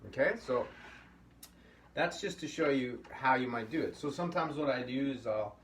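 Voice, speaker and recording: A man's voice: medium pitch, moderate pace, fairly bright tone. Easygoing middle-aged man teaching puppetry. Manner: easygoing, rambling, encouraging. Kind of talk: casual workshop lesson. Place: indoor studio room at a table. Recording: slightly distant mic, faint room hum.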